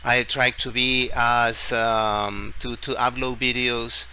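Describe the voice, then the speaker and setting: A man from Ecuador with an Ecuadorian Spanish accent hosts an informal screen-share explainer in halting, deliberate phrases, often repeating words. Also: medium pitch, flat delivery, sharp tone. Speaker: tutorial video host. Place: at home, street celebration outside. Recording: faint background noise.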